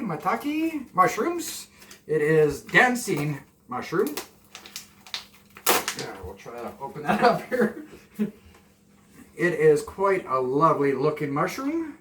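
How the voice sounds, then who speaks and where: A man in his forties rambles cheerfully while he cooks, poking fun at himself and admitting camera nerves. His voice sits a bit high. The sound is roomy and picked up from across a small home kitchen, with a faint hiss behind. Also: a bit bright. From Canada. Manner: rambling, self-deprecating, jokey asides. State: nervous on camera. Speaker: home cooking vlogger. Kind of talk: casual cooking chat. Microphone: camera a few steps away. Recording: roomy, distant camera mic.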